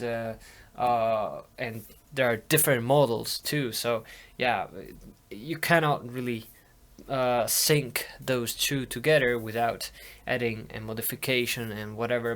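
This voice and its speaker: A man giving a casual hands-on tutorial, talking in a halting way as he thinks aloud. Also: medium pitch, a bit bright. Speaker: audio hobbyist demonstrating tape machines.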